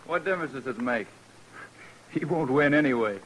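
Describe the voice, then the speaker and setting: An actor playing an amused, sneering army officer's sidekick in old time radio western style. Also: male voice, mid-range voice, expressive, normal speed, lightly acted. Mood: jeering, amused. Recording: noisy old radio drama recording.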